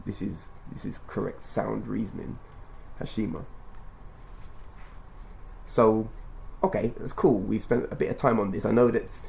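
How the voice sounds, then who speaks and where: Deep, gravelly man hosting an interview. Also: fairly even intonation, darkish tone, low-quality recording. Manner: measured, instructive, repeats and rephrases. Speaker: radio show and podcast host. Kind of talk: conversational interview, explaining and prompting.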